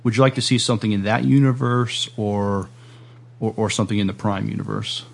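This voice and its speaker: Low, creaky-voiced man, tentative interviewer. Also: level tone. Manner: tentative, halting phrasing. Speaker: podcast host interviewer. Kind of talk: casual interview chat.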